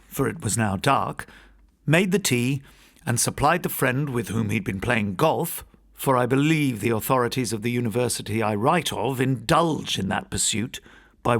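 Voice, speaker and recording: A man narrating a classic ghost story as a scripted audiobook, in a measured, literary storytelling manner. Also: medium pitch, vocal fry, a bit bright.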